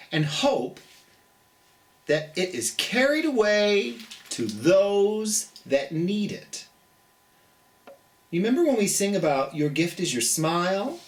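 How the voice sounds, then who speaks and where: An American children's storytime host with a medium-pitched voice, reading and chatting at a slow pace. The delivery is gentle and theatrical, with pitch that swings widely from phrase to phrase. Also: recorded from a distance. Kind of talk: children's picture-book read-aloud with chatty asides.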